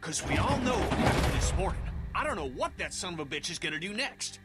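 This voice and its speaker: An actor playing a hot-headed, stubborn middle-aged survivor in a dramatic video game, with a blunt, agitated manner. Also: male voice, high-pitched, sing-song, fairly quick, over loud music.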